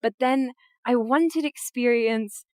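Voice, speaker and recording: A woman guest, a voice actress and streamer, chatting casually on a podcast in a playful, giggly way that leaps into high excited tones. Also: slow pace, no room sound, clean studio sound.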